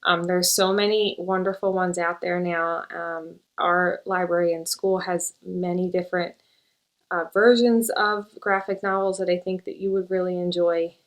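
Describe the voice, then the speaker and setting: Lower-voiced woman teacher chatting about books. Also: normal speed. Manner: coaxing questions to her young daughter, then relaxed rambling book talk. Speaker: teacher and show host. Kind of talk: casual book chat to camera.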